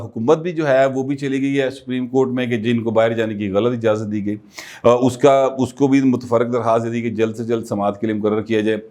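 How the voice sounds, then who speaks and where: Guy talking politics to camera, casual, hesitant fillers, average pitch. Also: darkish tone. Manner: conversational, many filler pauses. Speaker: political commentator. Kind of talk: solo political commentary.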